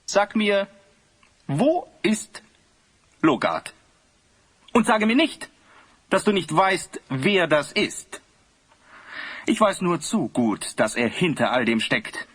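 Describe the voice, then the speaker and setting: An actor playing a vain, cruel, pompous ruler in a theatrical audio drama style. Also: male voice, sits high, very animated, slow, pauses often, a bit bright, heavily acted. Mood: menacing. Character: vain cruel male ruler, obsessed with beauty. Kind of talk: German audio drama acting.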